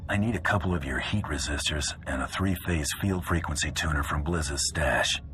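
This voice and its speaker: Deep, laconic bounty hunter. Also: male voice, normal speed, slight background noise. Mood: calm. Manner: laconic, calm, hard-boiled. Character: cool, tough male bounty hunter, quietly decent. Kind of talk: video game character acting. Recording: music behind the voice.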